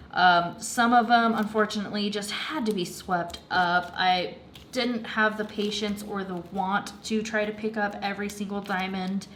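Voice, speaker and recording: A woman's voice: mid-range voice, bright, forceful tone, slight background noise. Chatty woman, a bit congested. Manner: chatty and rambling, with many fillers. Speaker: craft vlogger. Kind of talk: casual chat.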